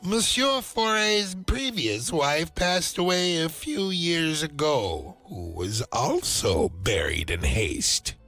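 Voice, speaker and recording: An actor playing an obliging, gossipy cemetery keeper in a game cutscene, with a theatrical, musing, drawn-out delivery. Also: male voice, fairly high pitch, lively intonation, gravelly, bright, forceful tone, heavily acted. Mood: musing recollection. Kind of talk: dramatic video game cutscene acting.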